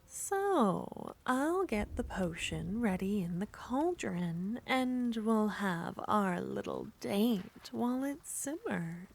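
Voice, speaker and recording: A woman voicing a seductive, possessive witch, speaking softly in half whispers with a teasing manner in an ASMR roleplay. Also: mid-range voice, expressive, takes it slowly, creaky, hardly any pauses, husky.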